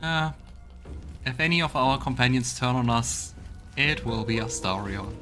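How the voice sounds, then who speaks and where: A man's voice: medium pitch, expressive, measured pace, over music. A man hosting a video game let's play with casual, hesitant, musing commentary. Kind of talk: casual gaming commentary and voiced dialogue reading.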